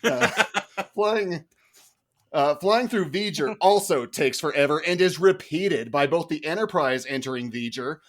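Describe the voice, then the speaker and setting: A man's voice: fairly high pitch, expressive, slow. A man arguing a humorous film critique as a mock prosecutor, in a mock-formal, exasperated way. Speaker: podcast panelist playing a mock prosecutor.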